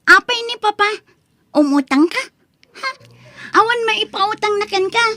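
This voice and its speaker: A woman's voice: very high voice, expressive, a little slow, bright, forceful tone, no room sound, clean studio sound, full character voice. A woman playing a snobbish, mocking rich girl in a drama, with a haughty, taunting manner. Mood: haughty, scornful. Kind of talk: Ilocano radio-style drama acting.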